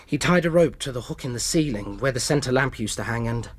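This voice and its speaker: Actor as shaken, mid-pitched gentleman doctor. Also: lively intonation, fairly quick, close to an everyday voice. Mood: shaken, formal. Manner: formal, courteous. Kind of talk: radio drama acting.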